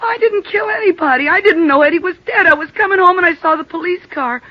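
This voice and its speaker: A woman's voice: very high-pitched, fast, no room sound. An actress playing a frightened young woman, a striptease dancer, pleading and tearful, in 1950s radio-drama acting on a dull old recording. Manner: pleading, tearful, breaking into sobs.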